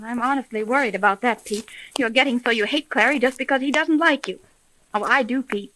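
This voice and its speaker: A woman's voice: on the high side, fairly quick, sharp tone, slight background noise, poor audio. A woman playing an earnest private detective who runs an agency, in a 1930s radio drama recording. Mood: earnest, concerned.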